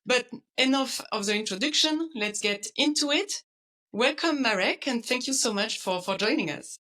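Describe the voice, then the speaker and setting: Bright-voiced woman hosting an interview. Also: a little high, expressive, moderate pace, rough recording. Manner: friendly, laughs often, light fillers. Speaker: podcast host, interviewer.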